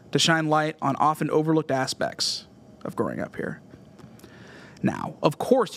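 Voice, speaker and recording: A man from Southern California, hosting a solo music podcast in an earnest, scripted essay style. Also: on the high side. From Southern California, USA. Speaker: podcast host.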